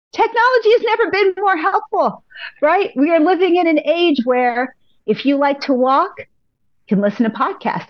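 A woman, a pilates instructor, giving upbeat, encouraging advice as a podcast guest, a little hoarse from losing her voice. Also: a little high, no echo, lo-fi sound. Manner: upbeat, encouraging, speaks in repeated affirmations. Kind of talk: casual interview advice.

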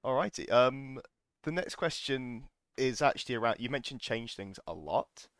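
A man hosting a casual interview, relaxed and jokey, with plenty of ums and restarts. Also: medium pitch, moderate pace, dry recording, clean studio sound. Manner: relaxed, jokey, many fillers. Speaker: podcast host.

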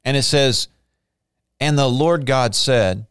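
Male Bible teacher, sermon delivery, clear resonant voice, emphatic. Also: mid-range voice, slow pace, fairly bright tone, no room sound, studio quality. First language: not Hebrew. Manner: explains Hebrew words, emphatic bursts.

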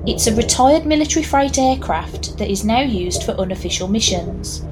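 A woman playing a reluctant hired narrator of an audio horror tale, with a deadpan, wry delivery. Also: a little high, moderate pace, natural voice. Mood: dry, sardonic. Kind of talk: scripted narration with deadpan asides. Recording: clear background noise and ambience.

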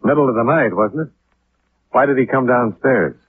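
An actor playing a tough, skeptical American insurance investigator in a 1950s radio drama, with a dry, clipped, probing delivery. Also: male voice, medium pitch, normal speed, a little hiss or hum, lightly acted. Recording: tinny, muffled 1950s radio recording.

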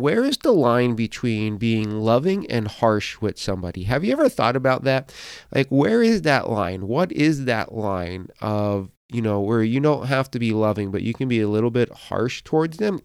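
A man who pastors and hosts a podcast, speaking with a slight speech impediment, pausing often and repeating words as he talks through a devotional thought. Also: mid-range voice, very animated, gravelly, clean tone, no room sound, studio quality. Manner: halting, many fillers and repeated words. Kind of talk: reflective devotional podcast talk.

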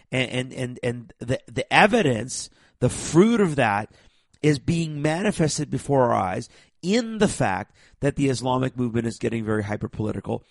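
A man hosts his own program with a raspy voice worn by a cold. His tone is gravelly and fry-laden, the sound close and dry. He talks with heavy stress and keeps stumbling into restarts. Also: medium pitch, no room sound. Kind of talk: emphatic monologue to viewers.

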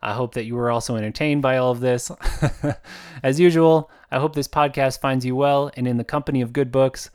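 A man from the United States, an author hosting his own podcast, talking in a chatty, reflective way with fillers and soft laughs. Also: mid-range voice, steady tone, a little fast, clean tone. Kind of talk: casual podcast monologue.

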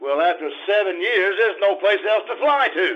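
Man acting a high-pitched, flustered jealous husband. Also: animated, normal speed, slight background noise. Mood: jealous, suspicious. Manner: stammering, evasive, dryly sarcastic. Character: flustered, jealous husband caught between two wives. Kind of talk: live stage radio comedy acting. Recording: dull lo-fi live stage recording.

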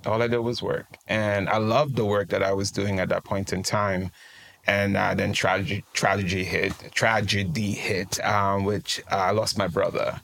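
Gravelly man, low voice. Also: flat delivery, moderate pace, a bit bright. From Trinidad and Tobago. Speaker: guest life coach. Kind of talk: casual interview conversation.

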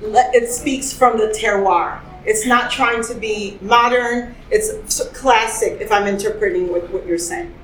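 A woman's voice: a little high, a little slow, fairly bright tone, slight background noise. Chatty woman hosting a tasting. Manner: chatty, enthusiastic, asks the group questions. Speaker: host leading a wine tasting. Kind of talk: casual tasting chat. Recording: echoey room with faint ambience.

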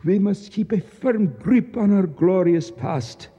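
An actor playing a proud, bitter Egyptian nationalist official in a radio drama, speaking formally and precisely with declamatory flourishes. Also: male voice, high voice, slow, warm tone, breathy edge, heavily acted. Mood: fervent, declamatory. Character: proud, embittered, fanatical Egyptian nationalist official, courteous yet grim. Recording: dull sound with long echoing reverb.

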